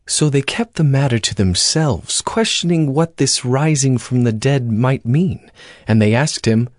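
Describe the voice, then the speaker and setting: A man's voice: medium pitch, measured pace, dry recording, studio quality. A man reading scripture as the narrator of a dramatized audio Bible. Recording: music bed under the voice. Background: background music.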